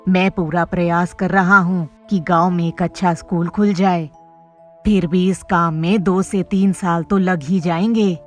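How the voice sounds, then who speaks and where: A sympathetic, helpless village headman in a cartoon tale, acted by a woman. The voice is on the low side, measured and apologetic, over background music. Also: fairly even intonation, natural voice. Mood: apologetic, reassuring.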